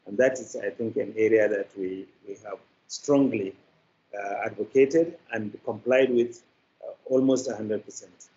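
An older man with a Nigerian accent, answering as an interview guest in a deliberate, halting, formal way over a muffled video call. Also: lowish voice, steady tone, darkish tone. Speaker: interview guest, media company representative. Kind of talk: considered interview talk. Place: indoor room, on a video call.

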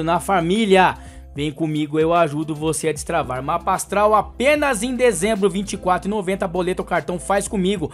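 A Brazilian man in his thirties reading a daily horoscope and pitching readings, with an affectionate, coaxing manner. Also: fairly bright tone. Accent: Brazilian Portuguese. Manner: affectionate, calls listener "meu ser de luz". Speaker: astrologer.

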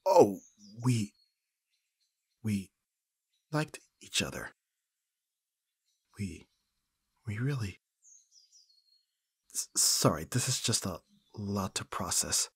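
A man acting a flustered young best friend in love in an intimate ASMR roleplay, husky and breathy, with a hesitant, stammering delivery. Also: on the low side, big pitch swings, very slow, long pauses, dry recording, exaggerated voice. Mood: surprised, tender. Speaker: audio roleplay voice actor.

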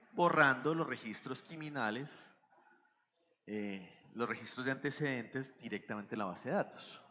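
A man's voice: mid-range voice, fairly bright tone, slight background noise. A middle-aged man with a Colombian accent giving a conference talk on stage, explaining and putting questions to the audience. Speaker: conference speaker. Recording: reverberant hall, faint ambience.

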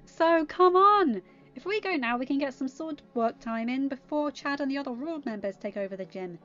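A woman's voice: high voice, animated, moderate pace, fairly bright tone, dull audio, soft background music, voice pushed up. A woman voicing a sarcastic, impatient teenage girl in an amateur storybook reading. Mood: amused, brisk.

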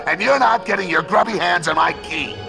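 An actor playing a grumpy, bossy camp supervisor and cook in a cartoon video game. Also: male voice, high-pitched, fairly quick, gravelly. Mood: irritated, bossy. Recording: loud game music behind.

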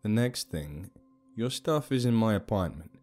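A man plays the wry young hero of an audio story. He talks casually and candidly with a sardonic edge and lively pitch, music behind him. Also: a little slow. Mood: earnest.

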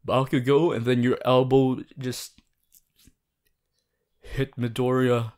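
Casting: male actor as earnest male student class president, fussy, caring, mid-pitched, for an ASMR roleplay addressed to the listener. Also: lightly acted. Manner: hesitant, repeating himself, gently bossy.

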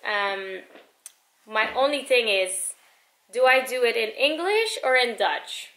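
A woman's voice: on the high side, lively intonation, takes it slowly, pushed and bright. A woman in her thirties chatting casually to camera as a vlogger, with plenty of ums and uhs. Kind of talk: casual vlog talk to camera. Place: home kitchen. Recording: echoey room.

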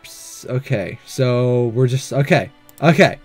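A man's voice: mid-range voice, expressive, slow, faint music behind. A man hosting a game playthrough, chatting casually in a goofy, jokey, theatrical way. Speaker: gaming video host reading and reacting. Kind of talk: casual let's-play commentary.

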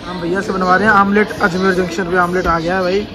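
A man's voice: high-pitched, level tone, fast, over music. A young man casually narrating his train journey as a travel vlogger, chatty and full of updates. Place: on a train and station platforms. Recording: crowded train station noise.

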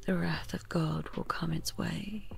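A woman voicing a vengeful witch spirit, chanting curses slowly in a breathy whisper, in ASMR horror storytelling style. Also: fairly low pitch, steady tone, soft background music. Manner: slow incantatory chanting.

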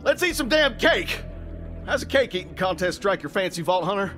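A man's voice: sits high, sing-song, normal speed, a bit bright, faint music behind. A man playing a folksy, exuberant bereaved father, hosting a party in a video game. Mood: forced cheer. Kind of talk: video game character acting.

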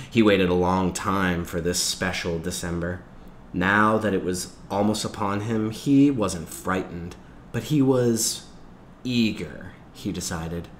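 A man reading a novel aloud as a teacher, in expressive storytelling narration. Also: sits low, slight background noise. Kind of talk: expressive audiobook-style narration.

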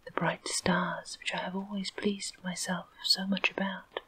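A woman voicing a humble, wistful old street lamp in a soft whisper. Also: fairly low pitch, little pitch movement, a little slow, breathy edge, full character voice, poor audio.

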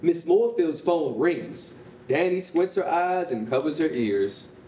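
A woman's voice: animated, slow, dark tone. A woman narrating a staged reading as scripted stage directions. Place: concrete rehearsal hall, live staged reading. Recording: roomy, distant mic, noisy rumble.

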